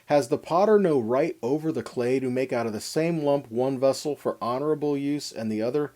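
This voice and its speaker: A man's voice: medium pitch, a little fast, mellow. A man teaching a scripture lesson in a sermon style, reading verses with emphasis and putting rhetorical questions to his listeners. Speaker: Bible teacher giving a weekly Torah portion lesson.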